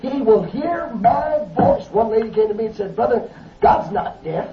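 A man preaching a fervent Pentecostal sermon, building to shouts and calling out hallelujahs. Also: extremely high pitch, animated, moderate pace, crowd noise. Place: church service. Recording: echoey dull lo-fi recording from a distance.

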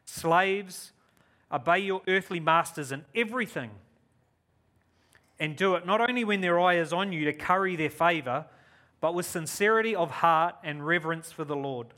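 A keen, upbeat man with a New Zealand accent preaches as a guest speaker at a church service. His voice sits a little higher than most men's, and he talks in an effusive, enthusiastic way. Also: normal speed, sharp tone. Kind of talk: live church sermon and prayer.

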